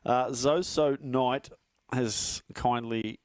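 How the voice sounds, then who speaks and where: A man's voice: mid-range voice, steady tone, drawn-out words, clean tone, dry recording. Man, studio commentator, chatty, laughs a lot, stumbles on words, dry room. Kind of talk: live stream commentary chat.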